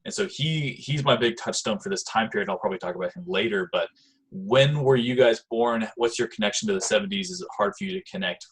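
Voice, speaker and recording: A man's voice: mid-range voice, expressive, quick pace, slightly rough, rough recording. A man in his twenties hosting a casual group chat over a video call, talking with lots of filler words. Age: 20s. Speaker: host.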